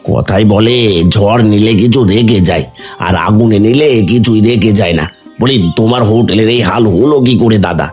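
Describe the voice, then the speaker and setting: A man's voice: fairly low pitch, moderate pace, darkish tone, soft background music, close to an everyday voice. A man voicing a greedy village moneylender in a cartoon, sly and coaxing.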